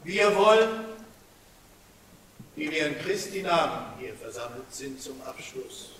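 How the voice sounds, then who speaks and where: A man playing a solemn pontiff who declaims slowly in a stately theatrical manner. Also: a little high, animated, a bit bright. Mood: prayerful, solemn. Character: solemn pontiff intoning in the royal we. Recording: very echoey, distant, hissy old stage recording.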